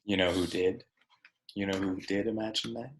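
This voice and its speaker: A man reading a picture book aloud like a teacher, with deliberate, emphatic phrasing and conversational asides for young listeners. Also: low voice, fairly even intonation, echoey room, slight background noise.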